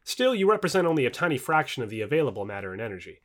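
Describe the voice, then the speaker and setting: A man's voice: mid-range voice, sing-song, very fast, close to an everyday voice. Man reading as a confident, explaining futurist. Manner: explanatory, correcting. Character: confident male futurist explaining technology patiently.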